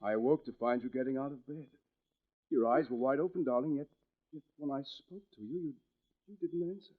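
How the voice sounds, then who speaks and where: An actor playing a troubled, secretive husband in a 1940s radio suspense drama, with a halting, evasive delivery. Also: male voice, mid-range voice, close to an everyday voice. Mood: concerned. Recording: vintage radio, phone-like narrow band.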